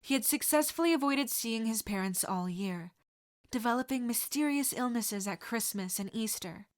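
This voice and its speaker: A woman reading fan fiction aloud as an audiobook narrator, steady and expressive. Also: fairly high pitch, normal speed. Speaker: podfic reader.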